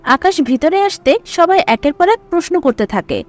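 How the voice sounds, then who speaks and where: A woman narrates a scripted story in a high voice with lively swings of intonation. Faint music plays behind her. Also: normal speed.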